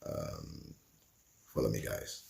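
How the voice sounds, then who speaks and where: A man's voice: takes it slowly, over loud music. A man from Cameroon responding in a casual reaction video, lecturing and joking.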